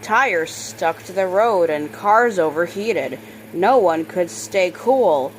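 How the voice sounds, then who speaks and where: A woman reading a children's book aloud, expressive on characters' lines and sometimes stumbling and correcting herself. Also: on the low side, a little hiss or hum, poor audio. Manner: halting reader, stumbles and corrects words. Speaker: storybook reader.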